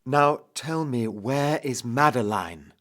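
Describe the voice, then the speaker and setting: A man's voice: medium pitch, animated, slow pace, a bit bright, pitched above the performer's own voice. A man playing an ardent, lovestruck young knight with impassioned archaic speech in a dramatic audio poem. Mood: eager. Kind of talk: dramatic poetry recital.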